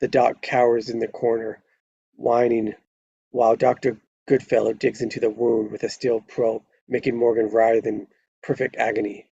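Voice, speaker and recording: A man's voice: mid-range voice, level tone, moderate pace, gravelly, warm tone, slightly rough, dry recording, dull audio. A man narrating a screenplay at a live table read, stumbling over words now and then and correcting himself.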